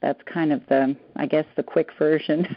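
An older woman whose first language is German, a psychotherapist answering interview questions in a thoughtful, halting way with many restarts. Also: low-pitched, fairly even intonation, no echo. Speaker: psychotherapist guest. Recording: muffled dry audio.

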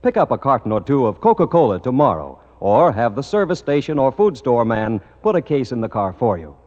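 A man's voice: medium pitch, sing-song, a little fast, a little mellow. A man reading scripted copy as a 1950s American radio show announcer. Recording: dull old radio recording, reverberant.

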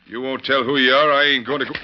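An actor playing a gruff, suspicious ranch foreman with blustering cowboy talk in an old radio western. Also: medium pitch, steady tone, quick pace, natural voice. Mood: grudging, wary. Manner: blustering, folksy cowboy speech. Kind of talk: 1940s western radio acting. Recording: dull vintage radio recording with noise.

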